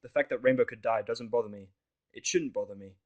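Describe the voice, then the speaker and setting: A man's voice: mid-range voice, quick pace, lo-fi sound. Casting: male narrator, middle register, for scripted first-person audio fiction.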